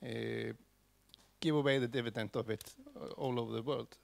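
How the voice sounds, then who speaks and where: Halting man with a gravelly voice. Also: mid-range voice, steady tone. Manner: halting, many restarts and fillers. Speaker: conference audience member. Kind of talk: spontaneous comment from the floor.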